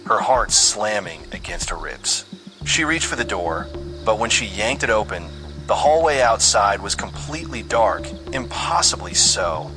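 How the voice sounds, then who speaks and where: A man's voice: lowish voice, poor audio. A man reading a scripted horror story with dramatic, suspenseful delivery. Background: music under the voice.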